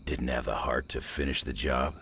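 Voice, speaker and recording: An actor playing a gruff, wry, rugged monster tracker in a video game, with a gravelly, raspy voice and a folksy, blunt way of talking. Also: male voice, very deep voice, fairly even intonation, moderate pace, a bit bright, slight background noise. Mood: easygoing. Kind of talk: video game character acting.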